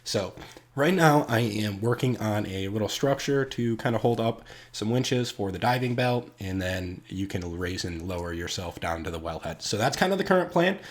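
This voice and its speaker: A man's voice: fairly low pitch, lively intonation, moderate pace. Stuffy-sounding man narrating his game build. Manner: rambling, filler-heavy, friendly address to viewers. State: stuffy, congested from a cold. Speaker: game build series host. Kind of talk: casual gameplay commentary.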